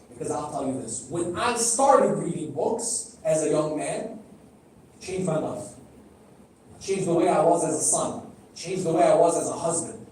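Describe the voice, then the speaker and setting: Preacher in a very echoey hall. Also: male voice, sits high, mellow, a little hiss or hum. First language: Arabic. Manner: direct, questioning the audience, repeating phrases for emphasis. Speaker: speaker at a religious gathering. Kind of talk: sermon. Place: large hall. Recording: echoey hall, heard from a distance.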